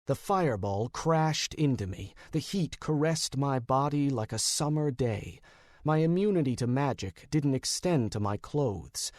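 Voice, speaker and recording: A man's voice: medium pitch, animated, a little slow, a little mellow, dry recording. Male audiobook narrator, very dry studio sound. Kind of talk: scripted first-person fiction narration.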